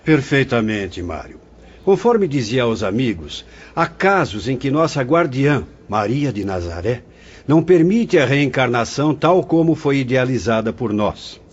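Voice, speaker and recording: Man as solemn spirit mentor, husky and gentle, muffled lo-fi audio drama. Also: mid-range voice, a little slow. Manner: calm, solemn, instructive. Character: venerable male spirit director, gentle and paternal. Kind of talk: radio drama acting. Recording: dull lo-fi audio.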